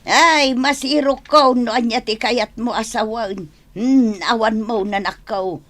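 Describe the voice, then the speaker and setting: A woman's voice: fairly high pitch, fairly even intonation, unhurried, a bit bright. A woman with an Ilocano accent playing a meddling, admonishing elder mother in a radio comedy drama. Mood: chiding.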